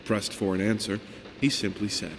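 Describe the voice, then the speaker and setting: A man voicing a formal newspaper reporter in a horror game, narrating articles in a measured, theatrical way. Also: on the low side, level tone, vocal fry. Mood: grandiose reporting. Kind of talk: scripted dramatic news-style narration. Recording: long hall reverb, faint background noise.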